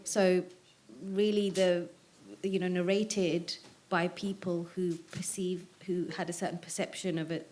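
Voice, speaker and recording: Casting note: woman audience member, low voice, hesitant questioner, faint noise behind. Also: level tone, unhurried, darkish tone. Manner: hesitant, many fillers. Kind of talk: public seminar question.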